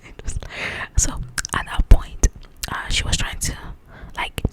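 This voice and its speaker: A woman speaking in a breathy, confiding whisper, chatting casually to the listener in an ASMR style. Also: unhurried. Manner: confiding, conversational, checks that the listener follows. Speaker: host of an ASMR book channel. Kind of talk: ASMR, whispered chat about book highlights.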